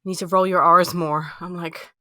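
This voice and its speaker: A woman's voice: on the low side, flat delivery, moderate pace, sharp tone, dry recording, clean studio sound. A woman whose first language is Dutch, co-hosting a podcast in casual chat, easygoing and teasing, chiming in briefly. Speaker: podcast co-host.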